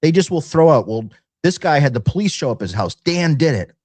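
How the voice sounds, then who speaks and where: A man talking casually as a guest on a talk show, somewhat halting as he restarts his phrases. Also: on the high side, very animated, darkish tone. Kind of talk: casual chat.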